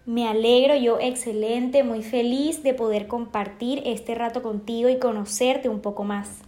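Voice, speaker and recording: Woman interviewer with a bright, high voice. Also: steady tone, measured pace. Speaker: interviewer host. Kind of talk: scripted interview questions.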